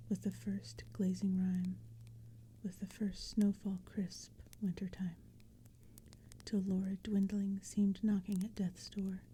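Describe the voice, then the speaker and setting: A woman whispers poetry in a hushed ASMR reading. Her breathy voice is very dark and mellow, with a flat, gentle melody and a slow, measured pace. A crackling fire and forest sounds play softly behind her. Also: mid-range voice. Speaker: narrator and reader.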